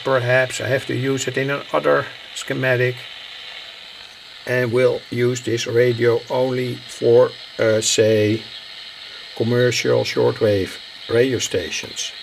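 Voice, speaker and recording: A man giving an unscripted hands-on demonstration as a hobbyist, speaking haltingly in short phrases. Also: mid-range voice, level tone, vocal fry, background noise. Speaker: hobbyist radio builder presenting. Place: indoor home workbench. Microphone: handheld camera's built-in microphone. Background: shortwave radio playing.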